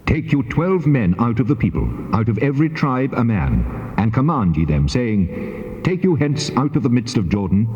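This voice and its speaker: Echoing, majestic voice of God. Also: male voice, medium pitch, big pitch swings, mellow. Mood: majestic commanding. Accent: British. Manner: majestic, measured, commanding. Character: God, solemn almighty male deity giving commands. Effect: heavy reverb. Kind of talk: dramatized Bible reading, formal declamation. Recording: reverberant, dull old cassette recording.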